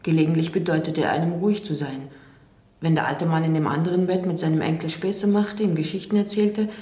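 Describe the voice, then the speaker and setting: A woman's voice: deep voice, steady tone, a little fast, dark tone, lightly acted. An actress in a radio drama playing a restrained, reflective woman who recalls the past in a hesitant, understated way. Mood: restrained reflection. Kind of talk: radio drama interview monologue. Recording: roomy, echoing sound.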